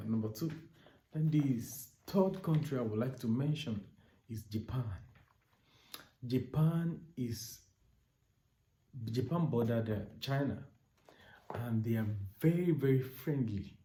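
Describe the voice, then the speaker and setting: Nigerian guy, warm mellow voice, keen and repetitive, roomy echo. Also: mid-range voice, slight background noise. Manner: repetitive, enthusiastic, stacks repeated words and restarts. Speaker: recruitment agent. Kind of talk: informal advice vlog. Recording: echoey room.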